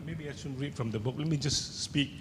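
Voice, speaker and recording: An older man with a Singaporean accent, an author telling personal anecdotes to an audience in a halting way. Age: older, seventies or above. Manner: halting, searching for words. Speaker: author on a festival panel. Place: large indoor event hall with seated audience. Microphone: handheld microphone. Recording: echoey hall, rumbling background noise.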